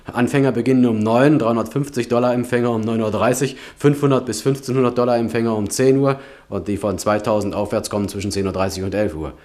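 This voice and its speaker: An actor in a German radio play, playing an eager, deferential junior colleague. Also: male voice, mid-range voice, steady tone, fast, hardly any pauses, a little mellow, close to an everyday voice. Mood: eager, polite.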